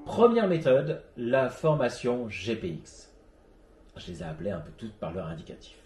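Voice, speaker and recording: Man presenting in an echoey room, animated pitch. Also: normal speed, over music. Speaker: host of an amateur radio web show. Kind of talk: scripted presentation, read narration.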